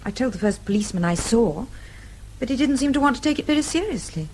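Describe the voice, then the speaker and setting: A woman's voice: a little high, expressive, quick pace, darkish tone, slight background noise, natural voice. An actress playing an outspoken, earnest young Englishwoman with a British accent in a radio drama, well-spoken and polite. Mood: indignant.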